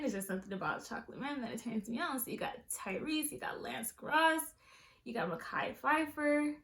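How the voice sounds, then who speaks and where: Woman, twenties, from Southern California; bright, sassy vlog chatter in an echoey room. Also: on the high side. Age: 20s. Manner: chatty, sassy, playful asides. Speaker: beauty vlogger. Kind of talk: casual chat.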